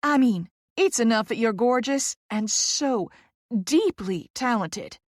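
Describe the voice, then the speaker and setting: A woman voicing a gushing, excitable Southern publicist in an audiobook, with a loud, effusive manner and a US Southern accent. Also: on the high side, moderate pace, no room sound, clean studio sound, voice pushed up, natural voice. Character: tiny, bubbly, gushing, high-energy Southern publicist woman. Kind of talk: audiobook character voice.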